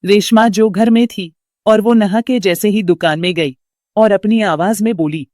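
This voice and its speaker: A woman's voice: medium pitch, a little slow, a little mellow, no room sound. Female narrator for scripted storytelling; mid-range voice, recorded dry.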